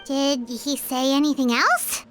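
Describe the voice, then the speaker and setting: A woman voicing a small, childlike, excitable floating fairy companion in a video game, with a squeaky voice and expressive delivery. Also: a little slow, soft background music. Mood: pondering. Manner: excitable, expressive, refers to self in third person.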